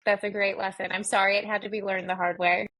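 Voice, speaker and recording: A woman's voice: medium pitch, flat delivery, fast, fairly bright tone. A woman hosting a podcast, interviewing in a conversational, encouraging and affirming way.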